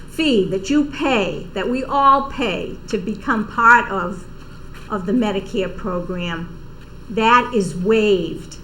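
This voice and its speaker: An older woman with a Boston accent, an outreach coordinator giving slow, explanatory answers on a panel and stressing key terms. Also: a little high, a bit bright, a little hiss or hum, rough recording. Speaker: outreach coordinator for an aging services office. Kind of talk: informative panel talk.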